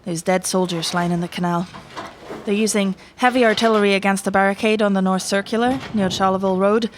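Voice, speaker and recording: A woman's voice: mid-range voice, fairly even intonation, fairly quick, slight background noise, natural voice. A woman in an audio play acting a young rebel messenger who reports urgently to a commandant. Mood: dutiful, urgent.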